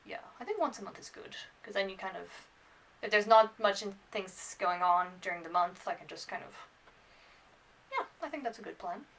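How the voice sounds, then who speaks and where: A bilingual French and English woman in her twenties talks casually in a mid-pitched voice, as in a student vlog. She rambles and hesitates, gently putting herself down as she goes. The microphone sounds slightly far from her. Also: animated, moderate pace. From France and Ireland. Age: 20s. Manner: rambling, hesitant, self-deprecating. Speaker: student vlogger. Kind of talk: casual unscripted vlog chat to camera.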